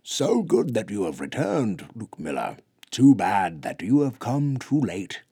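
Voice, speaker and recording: A man's voice: fairly low pitch, big pitch swings, measured pace, mellow, breathy edge, dry recording, studio quality, pitched above the performer's own voice, heavily acted. A man voicing a short, wide Bigfoot elder who speaks in a ceremonious way. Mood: stern.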